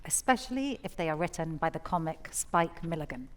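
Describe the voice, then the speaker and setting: A woman hosts a poetry evening from a theatre stage. Her voice sits slightly low, and she explains each piece articulately in prepared introductions. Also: lively intonation, fairly quick, a little hiss or hum. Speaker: host introducing poems.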